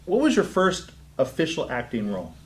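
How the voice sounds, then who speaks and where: A man's voice: a little high, wildly swooping pitch, fairly quick. A man hosting an interview, asking friendly, encouraging questions in casual chat. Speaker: interview host.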